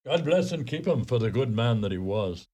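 An actor voices a self-important post office clerk in a radio play. He has a mid-pitched voice, an Irish accent and an officious, easily indignant bluster. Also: lively intonation, normal speed, darkish tone, natural voice. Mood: self-satisfied.

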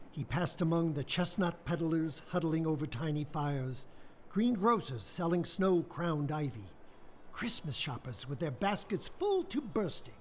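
An actor narrating a Victorian ghost tale for a radio play, in a measured, theatrical storytelling manner. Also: male voice, a little high, darkish tone, slight background noise.

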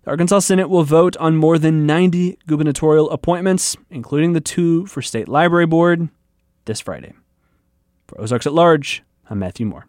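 A man's voice: on the high side, brisk, darkish tone, no echo, clean studio sound. A man reporting the news in a scripted narration style.

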